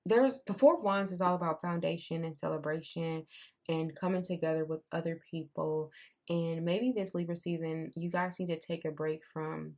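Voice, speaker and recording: A young woman giving a casual tarot reading. She talks in a loose, repetitive way, and her voice has gone raspy. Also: low voice, darkish tone. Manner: conversational, repeats herself, says "I'm seeing" often. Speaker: tarot reader.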